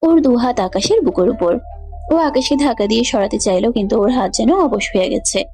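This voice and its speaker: A woman's voice: a little high, big pitch swings, a little fast, mellow, soft background music. A woman giving scripted narration of a romantic story.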